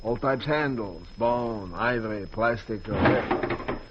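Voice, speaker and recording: An actor playing a shifty, talkative waterfront pawnbroker with wheedling, inverted old-world phrasing in 1940s radio style. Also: male voice, on the low side, slow pace. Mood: sly, cagey. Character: shifty, talkative waterfront pawnbroker man, fawning when impressed. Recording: muffled, noisy 1940s radio recording.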